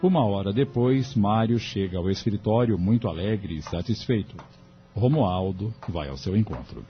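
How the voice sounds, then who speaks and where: A man's voice: on the low side, animated, moderate pace, close to an everyday voice. A man narrating a scripted audio drama as a composed storyteller. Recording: dull lo-fi audio, music underneath.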